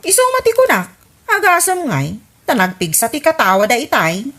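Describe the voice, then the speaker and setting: A woman's voice: high voice, huge pitch swings, moderate pace, a bit bright, exaggerated voice. A woman playing a suspicious, resentful neighbor wife with an Ilocano Filipino accent in a radio comedy drama.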